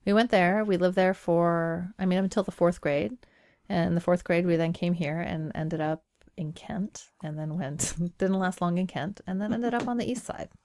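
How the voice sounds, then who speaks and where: A woman's voice: fairly low pitch, steady tone, normal speed, darkish tone. A woman in her forties, from Iran, telling her life story as a podcast guest with wry humor and frequent laughs. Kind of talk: casual interview conversation.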